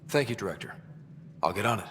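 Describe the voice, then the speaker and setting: An actor playing a level-headed young space explorer in a video game, plain-spoken and respectful. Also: male voice, lowish voice, expressive, fast, fairly bright tone, slight background noise. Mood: businesslike. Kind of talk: video game cutscene acting. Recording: reverberant hall with background music.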